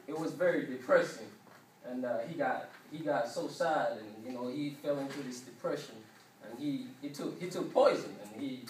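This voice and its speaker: A man from Uganda with a Ugandan accent giving an informal class presentation, repeating words haltingly as he goes. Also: mid-range voice. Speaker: student presenter. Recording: noisy, echoey room, distant mic.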